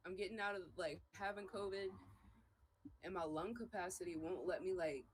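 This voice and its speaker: A woman's voice: fairly low pitch, moderate pace, a little hiss or hum, rough recording. A woman hosting a casual live stream, congested and nasal, talking in loose slangy bursts. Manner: loose, slangy, hyped talk. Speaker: live stream host reviewing music. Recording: loud music playing behind.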